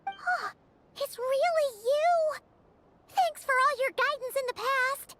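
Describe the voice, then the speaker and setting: A woman voicing a tiny, childlike fairy companion in an anime game, squeaky, chirpy and excitable. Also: slow pace, background noise. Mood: excited. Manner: excitable, refers to self by name.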